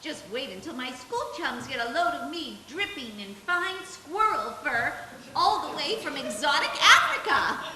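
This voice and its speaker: A woman's voice: high-pitched, very animated, a little slow, over a noisy crowd. A woman voicing a fancy, preening little schoolgirl in an old-time radio commercial. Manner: affected, preening. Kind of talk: 1940s radio commercial acting. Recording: echoey stage, faint hiss.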